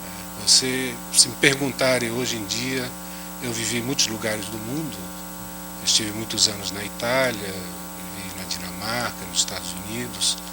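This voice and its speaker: Panelist, man, lowish voice, non-native Portuguese speaker, steady hum in the background. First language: not Portuguese. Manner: unhurried, adds "né?" after many phrases. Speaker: round-table panelist. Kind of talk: speech at an academic round table. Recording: audible hum under the voice.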